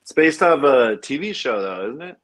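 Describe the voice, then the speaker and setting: A man with a medium-pitched voice hosts a relaxed film discussion. He reads out facts and figures and puts questions to his fellow speakers in an informal way. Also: normal speed, slight background noise. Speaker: podcast host. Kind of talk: casual film talk, reading out facts.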